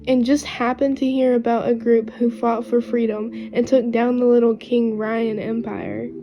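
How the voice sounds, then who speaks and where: A woman voicing an earnest, wounded runaway girl with strange powers in an amateur animated series. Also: on the high side, normal speed, natural voice. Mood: earnest, resolute. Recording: dull lo-fi mic under faint music.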